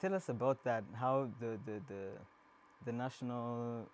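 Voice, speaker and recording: A man's voice: medium pitch, steady tone, unhurried, a little mellow, slight background noise. A man from Rwanda hosting an interview, asking loose, rambling questions with frequent restarts. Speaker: interview host. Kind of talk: casual interview.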